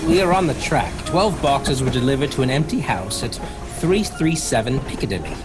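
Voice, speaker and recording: Low-voiced, somber vampire hunter. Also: female voice, expressive, music in the background. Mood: somber, weary. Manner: halting, somber, dramatic. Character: grim, weary vampire hunter reading a journal. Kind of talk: theatrical horror audio drama narration.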